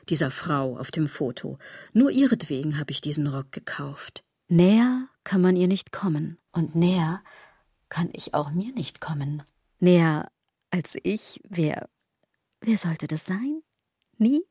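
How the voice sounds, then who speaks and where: An actress playing a sardonic, brooding woman in a radio drama monologue, with a husky, theatrical delivery that slips into breathy tones. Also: on the low side, animated, measured pace, mellow, no room sound, clean studio sound. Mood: wistful, self-mocking. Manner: ironic, theatrical, shifting into breathy whispers. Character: sardonic, brooding woman philosophising bitterly about fashion.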